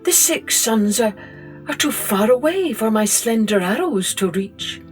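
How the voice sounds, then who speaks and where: A woman's voice: a little high, expressive, unhurried, faint music behind, rough recording. A woman doing a modest young archer. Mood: earnest.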